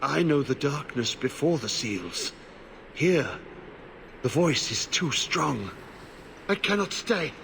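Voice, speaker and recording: An actor playing a half-mad, corrupted old warden in a video game, haunted and cryptic, speaking in halting fragments. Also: male voice, on the high side, sing-song, creaky, fairly bright tone, a little hiss or hum, exaggerated voice. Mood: bleak, tormented. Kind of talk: video game cutscene acting.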